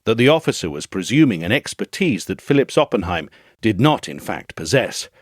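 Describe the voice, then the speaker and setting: A man narrates a literary essay in a lowish, widely varied voice, shaping each phrase with measured, articulate care. The recording is dry and close, with no room sound. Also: big pitch swings, no room sound. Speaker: audio essay reader.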